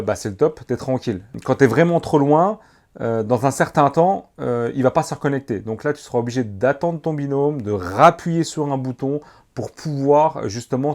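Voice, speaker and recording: A man's voice: mid-range voice, darkish tone. A man gives a casual, slangy gear review, speaking to the viewer as to a friend. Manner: informal, slangy, addresses the viewer familiarly. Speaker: motorcycle vlogger reviewing gear. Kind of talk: casual product review to camera.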